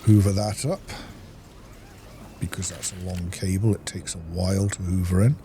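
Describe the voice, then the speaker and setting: A man with a fairly low voice hosts a casual gameplay walkthrough. He explains mechanics in a practical, chatty way to the viewer. The recording has clear background noise and game ambience behind the voice. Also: steady tone, moderate pace. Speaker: gaming video host.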